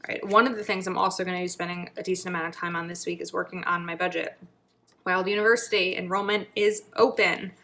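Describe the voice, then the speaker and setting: Bright-voiced woman vlogging, slightly raspy. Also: fairly low pitch, fairly even intonation, fast, slight background noise. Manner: chatty, thinking aloud while crafting, drops to murmurs. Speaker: planner vlogger. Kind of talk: casual planning video chat.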